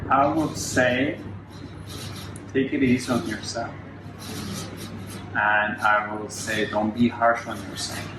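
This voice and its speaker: A man's voice: medium pitch, fairly even intonation, slow pace, pauses often. A man from Turkey with a Turkish accent, a Pilates educator who explains things earnestly and step by step. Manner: earnest, explains step by step with small grammar slips. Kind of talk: casual interview. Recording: echoey room with faint noise.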